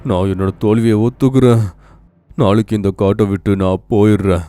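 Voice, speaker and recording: A man's voice: lowish voice, steady tone, moderate pace, dark tone, dry recording, natural voice. A man voicing a proud, commanding cartoon lion king with declamatory royal speech. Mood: solemn. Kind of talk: cartoon fable acting.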